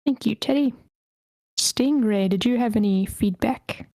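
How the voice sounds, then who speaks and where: A woman's voice: on the high side, a little slow, warm tone, no room sound. Woman moderating, dry close sound. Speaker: host moderating a script feedback session. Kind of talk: moderating a group discussion. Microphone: online voice meeting.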